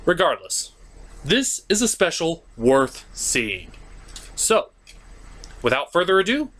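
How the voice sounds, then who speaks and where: A man's voice: fairly high pitch, very animated, slow, fairly bright tone. A man hosting a comedic cartoon review, recapping the plot in a sarcastic, mock-dramatic and profane manner. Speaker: cartoon reviewer, show host.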